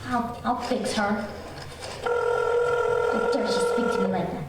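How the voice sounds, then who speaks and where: A woman's voice: mid-range voice, steady tone, measured pace, close to an everyday voice. A woman playing a nervous, bedridden, imperious wife in an old-time radio suspense play, agitated, demanding and repeating herself. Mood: indignant. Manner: demanding, agitated, repetitive, indignant. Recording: very echoey hall, distant mic, faint noise.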